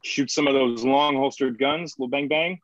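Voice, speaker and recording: A man playing a gruff, sarcastic, short-tempered colony administrator in a screenplay table read. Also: medium pitch, steady tone, moderate pace, close to an everyday voice. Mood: irritated, sarcastic. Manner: curt, sardonic, foul-mouthed. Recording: dull lo-fi audio.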